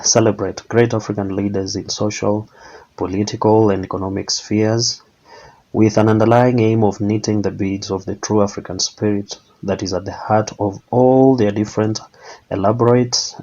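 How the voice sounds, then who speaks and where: A man giving a prepared answer in a formal, eloquent, measured way. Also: fairly low pitch, dark tone, highs cut off.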